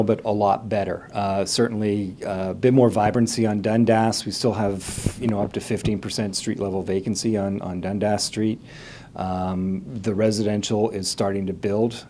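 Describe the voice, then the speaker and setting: A man answers interview questions off the cuff, in the role of a city official. His voice is fairly low, and he speaks haltingly, doubling back on words as he thinks. A faint noise with a low rumble sits behind him. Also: warm tone. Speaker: city planning manager being interviewed.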